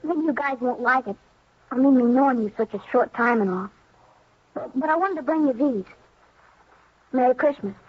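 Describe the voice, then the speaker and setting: An actor playing an earnest, grateful young boy in 1950s radio drama, with a husky American voice and halting, plain-spoken delivery. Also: high voice. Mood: shy, hopeful. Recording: dull old radio recording.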